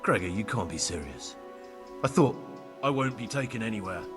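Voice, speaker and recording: Man acting a defiant fugitive, low, animated voice. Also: moderate pace, music in the background, natural voice. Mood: betrayed, defiant. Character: defiant, embittered medieval fugitive criminal, adult man. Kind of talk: video game cutscene acting.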